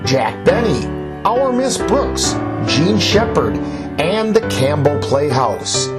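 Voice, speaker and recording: A woman's voice: low voice, very animated, slow pace. A woman presenter giving a scripted program introduction. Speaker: station presenter. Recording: loud music under the voice.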